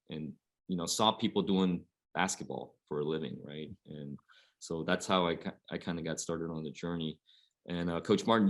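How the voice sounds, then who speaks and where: A man in his forties from Oregon, a high school basketball coach, telling his story at length on a webinar panel, with many fillers. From Oregon, USA. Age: 40s.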